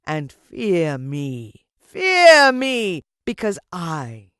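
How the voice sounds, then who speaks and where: A woman voicing a gloating, vengeful older male villain from a children's storybook, declaiming slowly and theatrically with menace. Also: fairly low pitch, wildly swooping pitch, a bit bright, clear, resonant tone, no echo, clean studio sound, exaggerated voice. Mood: menacing.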